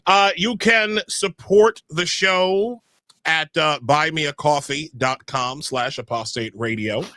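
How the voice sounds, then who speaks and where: A man's voice: on the high side, slow, bright, forceful tone, dry recording. A young adult man hosting a live podcast, speaking energetically with frequent filler sounds as he runs announcements and introductions. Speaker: show host.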